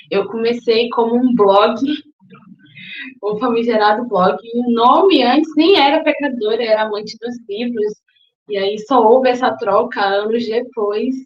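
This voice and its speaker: A young woman from Salvador, Bahia, answering interview questions in a thoughtful way with some hesitation. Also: fairly high pitch. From Salvador, Bahia, Brazil. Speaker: guest, book influencer. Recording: echoey, dull lo-fi recording.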